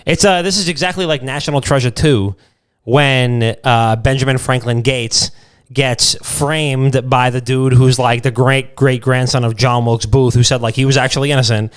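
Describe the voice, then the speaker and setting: A man's voice: medium pitch, steady tone, normal speed, clean tone. A man co-hosting a podcast, riffing in loose, profane, irreverent comedic banter. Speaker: podcast co-host.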